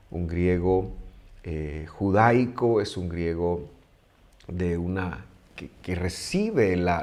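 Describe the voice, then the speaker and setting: Deep-voiced guy giving a bible study, slow and careful, lots of restarts. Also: darkish tone. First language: Spanish. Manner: measured, with frequent restarts and pauses. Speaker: Bible teacher.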